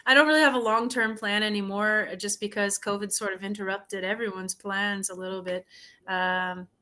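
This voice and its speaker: A young woman tutoring over a video call, talking in a relaxed, hesitant way with many fillers. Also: mid-range voice, moderate pace. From United States. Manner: relaxed, hesitant, lots of "like" and "I don't know". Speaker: English tutor. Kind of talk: casual conversation practice.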